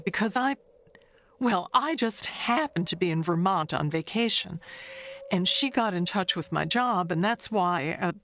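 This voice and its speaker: An actress as a chatty older woman who speaks slowly and confides in halting, rambling turns. She sounds like she is guarding a secret in a mystery tale, over clear background noise. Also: mid-range voice, fairly bright tone, heavily acted. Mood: guarded, uneasy. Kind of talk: audio mystery monologue.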